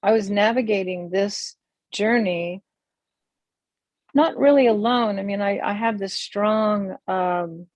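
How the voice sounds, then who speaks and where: Older woman, slow and reflective. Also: medium pitch, no room sound. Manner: thoughtful, searching, many pauses and restarts. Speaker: interview guest, community elder. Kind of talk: reflective video-call interview. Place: room with large windows onto a forest. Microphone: video call.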